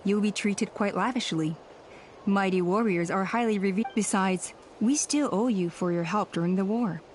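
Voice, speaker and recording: A woman's voice: medium pitch, a little hiss or hum, lightly acted. Woman playing a dignified, courteous warrior elder. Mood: gracious. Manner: formal, measured, earnest. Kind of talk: anime game voice acting.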